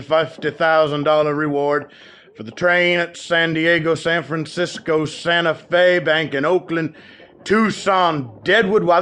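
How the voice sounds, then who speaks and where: A proud frontier sheriff who snaps out orders with a tense, bright edge to his voice. He sits fairly high in pitch for a man and does not suffer fools. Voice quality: brusque, commanding. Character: gruff, proud male town sheriff. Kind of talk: radio drama acting.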